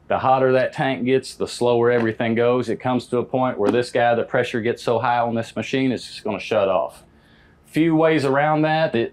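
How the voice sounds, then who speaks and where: Man in his fifties, American accent, medium pitch, folksy, practical, hands-on instructor, casual explaining. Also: a little mellow. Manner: plain-spoken, folksy, practical. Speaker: HVAC technician instructor. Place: indoor parts warehouse. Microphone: lapel mic.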